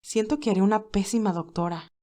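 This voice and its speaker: A woman's voice: medium pitch, a little fast, warm tone, natural voice. A woman voicing a modest, kind, easily flustered young nurse in an audio fan drama. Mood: modest, friendly. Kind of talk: acted audio fan fiction dialogue.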